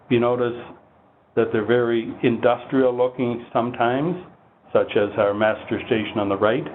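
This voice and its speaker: Through a thin, phone-like connection with noticeable noise, a man delivers a technical training presentation. His voice sits at a middle pitch. He explains the product in a measured, instructional manner, as a trainer walking through slides. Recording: muffled phone-like line with heavy noise.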